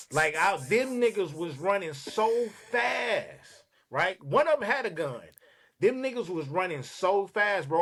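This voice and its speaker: Man, podcast guest, slightly high voice, animated profane storytelling, casual. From Los Angeles. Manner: animated, streetwise, profane anecdote-telling.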